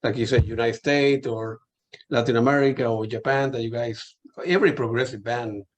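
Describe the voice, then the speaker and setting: A man's voice: medium pitch, steady tone, moderate pace, muffled recording. A man, interviewing a guest in a casual chat, asking long rambling questions with fillers. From Chile.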